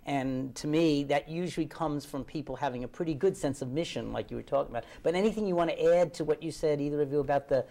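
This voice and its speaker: A man's voice: a little high, fast, creaky, few pauses, warm tone. Attentive male interview host, conversational. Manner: attentive, frequent short acknowledgements, loosely worded questions.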